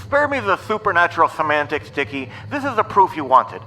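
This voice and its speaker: A man's voice: a little high, sing-song, a little fast, sharp tone, slight background noise. Man as a tinny, know-it-all boy over walkie-talkie. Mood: pedantic, exasperated. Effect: tinny radio transmission.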